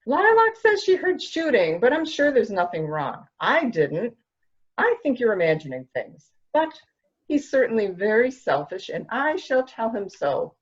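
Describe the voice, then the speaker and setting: A woman's voice: a little high, huge pitch swings, a little slow, slightly rough, muffled recording, heavily acted. A woman acting a composed, skeptical member of a mansion household in a murder mystery reading. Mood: dismissive.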